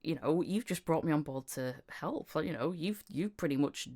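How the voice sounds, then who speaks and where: A woman's voice: sits low, lively intonation, moderate pace, darkish tone. A woman hosting a casual podcast chat about voice acting. She laughs often and acts out little quoted exchanges as she talks. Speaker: podcast host.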